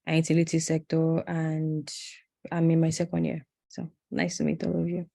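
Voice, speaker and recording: Low, flat-toned woman from Nigeria, dry close sound. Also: normal speed, creaky, no echo. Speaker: graduate student panelist. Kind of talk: self-introduction on a video call.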